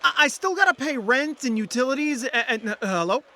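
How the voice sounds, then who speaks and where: A man's voice: extremely high pitch, expressive, fairly quick, sharp tone, exaggerated voice. An actor playing an ordinary, lonely young man in an audio drama, earnest and a little hesitant. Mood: pleading, flustered. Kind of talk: audio drama dialogue and first-person narration.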